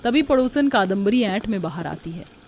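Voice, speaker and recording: A woman's voice: medium pitch, expressive, normal speed, a little mellow, a little hiss or hum. A woman narrator reading a scripted story.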